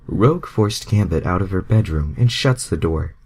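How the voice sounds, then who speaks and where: A man's voice: low-pitched, lively intonation, normal speed, a little mellow, slightly rough. A man narrating fan fiction casually as an amateur reader, stumbling over words and dropping sweary asides.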